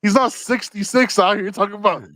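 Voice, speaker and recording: A man's voice: sits high, a little fast, sharp tone, dry recording. A man co-hosting a casual sports podcast over a video call, teasing the others and reading out viewer comments. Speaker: podcast co-host.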